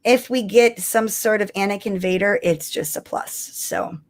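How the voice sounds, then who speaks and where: A woman's voice: medium pitch, a little slow. Chatty woman co-hosting a casual livestream. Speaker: co-host of a fan show.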